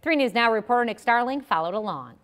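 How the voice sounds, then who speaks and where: A woman's voice: on the high side, expressive, fast, fairly bright tone, a little hiss or hum. An American woman anchoring a local television newscast. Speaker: TV news anchor. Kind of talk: scripted newscast.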